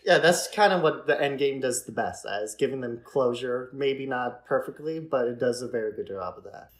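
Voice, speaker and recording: A man's voice: mid-range voice, expressive, normal speed. A man co-hosting a podcast, talking in a casual, conversational and hedging way about films. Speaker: podcast co-host. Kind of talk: casual chat, film discussion.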